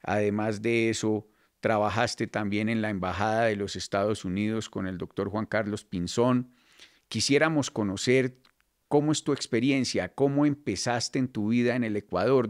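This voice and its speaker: A man hosting an interview in a measured, ceremonious way. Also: on the low side. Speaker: interview host. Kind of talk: podcast interview, formal introduction and questions.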